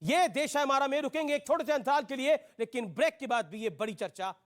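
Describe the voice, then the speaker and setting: A man's voice: very high voice, expressive, fast talker. A man hosting and moderating a live television news debate. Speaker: TV debate host.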